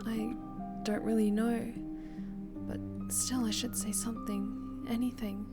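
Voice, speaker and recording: A woman's voice: on the high side, little pitch movement, measured pace, loud music behind the voice. A woman reacting to a game and reading along as she plays. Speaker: streamer playing and reacting to a visual novel.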